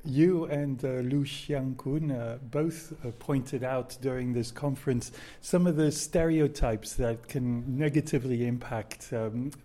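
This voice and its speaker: A male interviewer asking academics measured questions, with some hesitations. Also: medium pitch, steady tone, dark tone.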